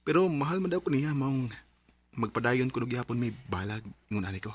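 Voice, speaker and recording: A man's voice: mid-range voice, animated, brisk, breathy edge, dry recording. An actor playing a closeted man torn between two lovers in a Philippine radio drama, earnest and pleading, with a Bisaya Filipino accent. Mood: confiding.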